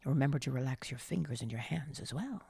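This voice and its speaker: A woman leading a guided meditation in a hushed, soothing, breathy and often whispered voice, coaxing gently with long pauses. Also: very deep voice, animated, no echo, studio quality. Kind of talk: slow guided meditation narration, partly whispered.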